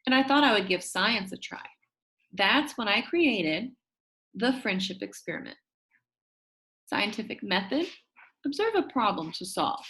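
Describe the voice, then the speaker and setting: A shy but upbeat, quirky school kid tells their own storybook tale , read aloud by a woman. The delivery swoops widely in pitch at a medium register, chummy and expressive, with dramatic emphasis on key words. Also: measured pace, pauses often. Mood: chatty, upbeat. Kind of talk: first-person children's book narration.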